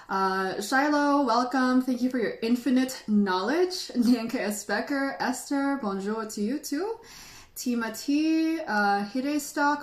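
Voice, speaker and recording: A woman's voice: medium pitch, echoey room. A woman hosting a casual livestream, chatting in a sentimental, giggly, informal way. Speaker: livestream host and tea teacher.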